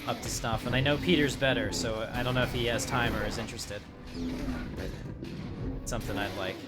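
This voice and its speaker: A man's voice: mid-range voice, fairly even intonation, fairly quick. A man giving casual, rambling live commentary as he streams a game, talking conversationally to his viewers. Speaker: game streamer, channel host. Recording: loud music and game sound behind. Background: loud game music and effects.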